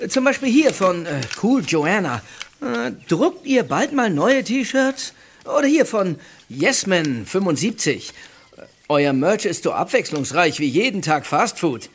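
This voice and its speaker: Lively young band girl. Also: fairly low pitch, unhurried, slight background noise. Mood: businesslike. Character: upbeat, witty young girl bandmate. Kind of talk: German audio drama acting.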